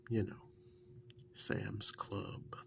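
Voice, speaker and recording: A man playing an easygoing, wryly fond long-term partner in an ASMR role-play, speaking softly and breathily in a halting, musing way. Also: fairly low pitch, completely flat delivery, slight background noise, heavily acted. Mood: nostalgic, wry. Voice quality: soft, breathy, gravelly, half-whispered. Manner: halting, unhurried, musing with many pauses.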